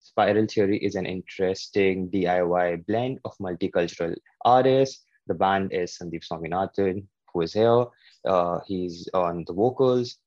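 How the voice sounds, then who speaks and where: A man with a very low voice hosts a relaxed podcast interview. He talks in a halting way and often restarts his words. The sound is dry and close, with no room around it. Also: no room sound, dull audio. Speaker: podcast host. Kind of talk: casual interview.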